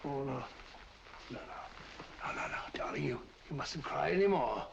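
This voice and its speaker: An actor playing a bumbling, kindly British gentleman, a detective's sidekick, who stammers and fusses in an avuncular way in an old mystery film. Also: mid-range voice, lively intonation, normal speed, creaky. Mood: soothing, flustered. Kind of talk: 1940s mystery film acting. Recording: dull vintage film soundtrack, faint noise.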